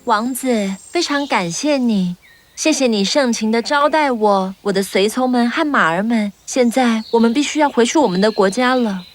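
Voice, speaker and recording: A woman voicing a polite, kind young princess in a children's cartoon, soft-spoken and grateful. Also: fairly high pitch, normal speed, natural voice. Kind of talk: cartoon dubbing.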